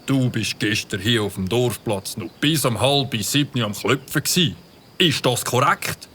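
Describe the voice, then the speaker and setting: A man's voice: mid-range voice, expressive, measured pace, pushed and bright, a little hiss or hum. A man with a Swiss German accent voicing a stern, officious village policeman in a children's audio drama. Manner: officious, commanding.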